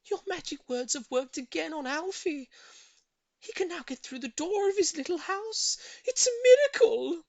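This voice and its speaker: A woman voicing an excitable, gushing older lady neighbour in a storybook reading. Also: extremely high pitch, normal speed, no echo, voice pushed up. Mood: thrilled. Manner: exclamatory, gushing.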